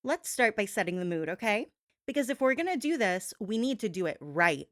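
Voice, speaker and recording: A woman acts out a sassy female gossip radio host in a very dry, close recording. Her delivery is theatrical and conspiratorial, stretching key words for emphasis. She teases and coaxes the listener like a hypnotist, in a medium-pitched voice. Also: very animated, fairly bright tone, dry recording. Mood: coaxing. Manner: dramatic, conspiratorial, drawn-out emphasis, coaxing. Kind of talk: talk-show gossip monologue blended with hypnosis induction.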